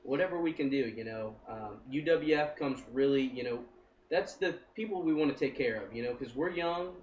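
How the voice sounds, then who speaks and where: A man's voice: medium pitch, brisk, slight background noise. A man in his early twenties, a company co-founder, talking in a rambling, upbeat way in a casual interview, with many "I mean" fillers. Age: 20s. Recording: roomy, distant and dull sound.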